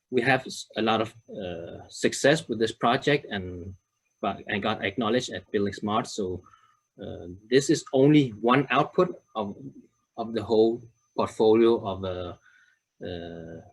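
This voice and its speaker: A man in his thirties from Denmark presents to an online audience, with a somewhat low voice. He often stops with fillers, repeats words and starts phrases over. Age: 30s. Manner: hesitant, many fillers and restarts. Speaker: conference speaker.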